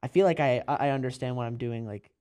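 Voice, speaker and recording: A man's voice: mid-range voice, quick pace, warm tone. A chatty man hosting an interview. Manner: laughs often, rambling questions. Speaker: interview host. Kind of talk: casual interview chat.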